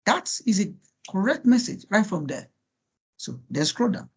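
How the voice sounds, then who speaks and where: A man's voice: high voice, sing-song, normal speed, breathy edge. A Nigerian man, a native Yoruba speaker, coaching students in an informal workshop with an encouraging, repetitive manner and rhetorical questions. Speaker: guest speaker coaching students on LinkedIn profiles.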